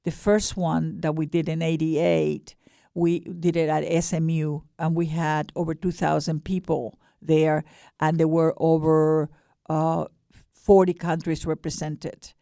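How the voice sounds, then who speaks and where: A mature woman with an Ecuadorian Spanish accent, speaking earnestly and haltingly as a guest in a radio interview. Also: low-pitched, little pitch movement, creaky, dark tone, dry recording, clean studio sound. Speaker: guest, board director of a peace nonprofit.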